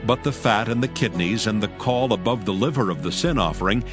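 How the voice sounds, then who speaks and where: Low-voiced male scripture narrator. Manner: steady, reverent, measured. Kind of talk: dramatized Bible narration. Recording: narration over clear music. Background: music.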